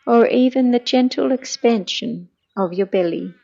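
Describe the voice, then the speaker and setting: A middle-aged woman leading a guided meditation in a gentle, soothing, hushed manner that turns breathy at times. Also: on the high side, moderate pace, muffled recording.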